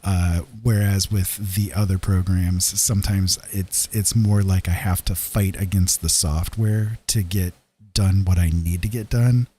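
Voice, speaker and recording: Male streamer, low and very mellow, husky texture, casual late-night chat kept soft. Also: fairly even intonation, a little slow. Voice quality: deep, husky. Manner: soft-spoken, holding his voice down so as not to wake someone. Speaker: livestreaming digital artist, former radio talk show host. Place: room at home. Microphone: podcast microphone through a mixer, at a desk.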